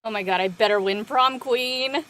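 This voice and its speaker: A woman playing a playful, blunt, food-loving high school senior girl in a drama table read. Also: moderate pace, a bit bright, slight background noise, natural voice.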